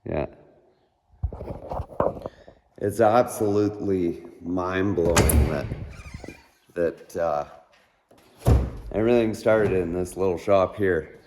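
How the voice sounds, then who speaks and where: A man with a hoarse, raspy voice chatting casually in a vlog about his shop, rambling and trailing off with fillers. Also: deep voice, takes it slowly, long pauses, background noise. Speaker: vlogger. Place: garage shop, partly outdoors.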